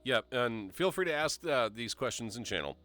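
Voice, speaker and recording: A man hosting a casual live-stream talk show, reading viewer questions aloud in a relaxed, rambling way. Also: medium pitch, normal speed. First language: English. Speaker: show host.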